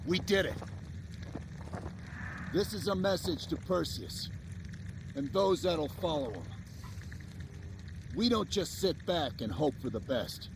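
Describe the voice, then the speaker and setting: An actor playing a tough, fired-up soldier with a gravelly voice, speaking forcefully in a game cutscene. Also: male voice, a little high, lively intonation, takes it slowly, pauses often, heavily acted. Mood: triumphant resolve. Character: tough, fired-up soldier leading a combat mission. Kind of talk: dramatic game cutscene dialogue. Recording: music and vehicle noise behind.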